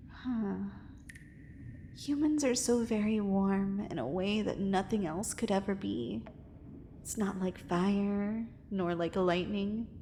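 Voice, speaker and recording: A possessive mermaid, acted by a woman, speaks in a long, cavernous reverb over faint noise. Her delivery is very slow, formal and coaxing. It is an intimate ASMR romance roleplay, tender with a dangerous edge. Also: medium pitch, mellow, lightly acted.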